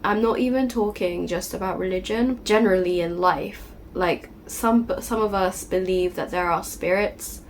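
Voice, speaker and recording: A woman speaks to her channel in an earnest, gentle way, often adding "you know". She is getting over a cold, so her voice sounds slightly off. Her pitch sits at a middle height for a woman, with a faint hiss behind her. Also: moderate pace, few pauses. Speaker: channel host. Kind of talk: personal confessional vlog.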